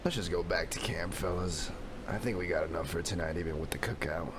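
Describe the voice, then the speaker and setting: A man playing a weary, kindly old retired salesman and widower in a comic dub, gravelly and folksy. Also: sits low, fairly even intonation, brisk, lightly acted. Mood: weary, frank. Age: 60s. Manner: folksy, plain-spoken. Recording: clearly noisy background hiss and ambience.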